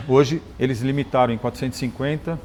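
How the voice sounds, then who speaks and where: A Brazilian man, a motorcycle engineer and rally champion, telling stories in a relaxed interview, often restarting words and adding "né?". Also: medium pitch, normal speed, a little hiss or hum. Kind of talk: conversational interview storytelling.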